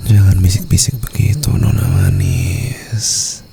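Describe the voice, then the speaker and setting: A man with an Indonesian accent playing a doting, teasing mafia boss in intimate romantic ASMR roleplay, drawling seductively and often breathy. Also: low voice, huge pitch swings, slow, mellow, soft background music, full character voice.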